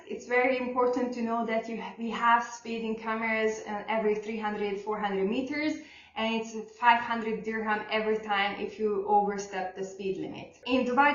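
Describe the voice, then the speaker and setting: A young woman whose first language is not English, giving casual advice in a chatty storytelling way and laughing often. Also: on the high side. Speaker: real estate agent vlogger. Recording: echoey room, dull lo-fi sound.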